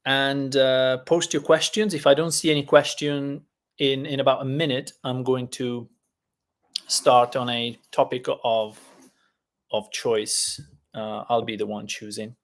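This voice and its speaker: A man who is a native Italian speaker, hosting a casual live-stream lesson, talking in a chatty, halting way with many fillers. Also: mid-range voice, level tone.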